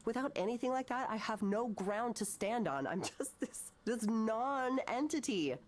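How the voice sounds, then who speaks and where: An actress voicing an anguished, bitter, self-doubting woman artist in a naturalistic visual novel, speaking haltingly and emotionally. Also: on the high side, expressive, slight background noise. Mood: dejected. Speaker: counselling patient.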